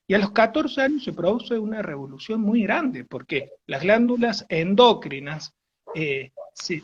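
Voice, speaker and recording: A man's voice: sits high, husky, muffled recording. A man with an Argentine Spanish accent giving a virtual lecture, explaining with many fillers and rephrasings.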